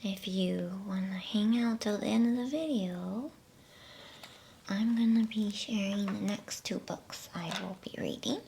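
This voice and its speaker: A woman whispering breathily and gently in a hushed ASMR style, as a host reading a bedtime storybook. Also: medium pitch, creaky, slight background noise. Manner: gentle, slow, hushed delivery.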